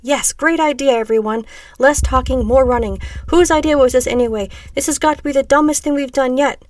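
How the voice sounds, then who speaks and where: A woman's voice: mid-range voice, normal speed, no echo, recorded from a distance, lightly acted. Woman voicing an edgy, condescending punk girl. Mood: sarcastic. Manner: condescending, harsh.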